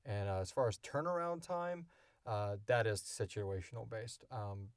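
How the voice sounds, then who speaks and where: Low-voiced man chatting casually. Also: a little slow, no echo, studio quality. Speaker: podcast co-creator and co-host. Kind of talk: casual unscripted Q&A chat.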